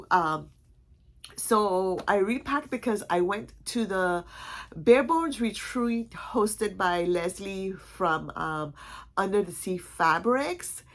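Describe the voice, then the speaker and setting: A woman's voice: mid-range voice, fairly bright tone. A woman vlogger with a stuffy, congested voice, chatting casually in a rambling way full of restarts. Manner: rambling, many restarts and fillers. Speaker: vlog host. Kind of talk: casual chat.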